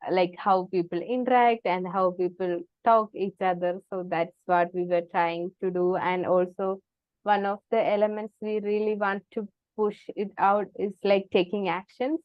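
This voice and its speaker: A woman's voice: mid-range voice, steady tone, moderate pace, clean tone, no echo, highs cut off. A woman facilitating an online group session, speaking hesitantly with many restarts and fillers.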